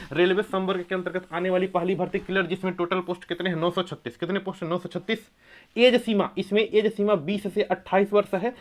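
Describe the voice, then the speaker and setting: Emphatic male coaching teacher. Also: high-pitched. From India. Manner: emphatic, repeats key points and quizzes listeners with rhetorical questions. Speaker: teacher at a competitive exam coaching academy. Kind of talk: coaching lecture explaining exam eligibility and syllabus.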